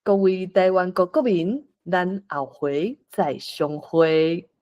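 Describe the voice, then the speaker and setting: A woman with a Taiwanese accent voicing a kindly guardian bodhisattva in a folk-tale play, with drawn-out storytelling delivery. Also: fairly low pitch, darkish tone, highs cut off. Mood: benevolent, solemn.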